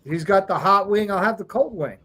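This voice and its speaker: Wisecracking guy, husky and a bit high-pitched. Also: expressive, a little fast, sharp tone. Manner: joking, wisecracking. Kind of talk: casual chat.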